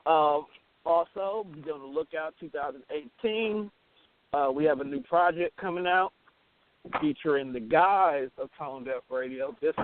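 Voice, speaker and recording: Higher-voiced joking man on a phone line. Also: a little slow, dry recording. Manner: slangy, playful, profane. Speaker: radio show host. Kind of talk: casual joking internet radio banter. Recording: thin phone line with hiss.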